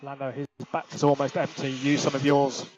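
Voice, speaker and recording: A man's voice: medium pitch, monotone, fairly quick, a little mellow, slight background noise. A man working as a race engineer, giving terse, matter-of-fact instructions to a driver over team radio. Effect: team radio transmission. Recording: muffled team radio channel.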